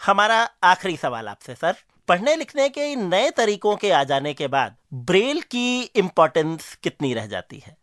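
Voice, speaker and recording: A man's voice: sits high, sing-song, a little slow, hardly any pauses, fairly bright tone, no echo, clean studio sound. A man interviewing and announcing as a radio show presenter.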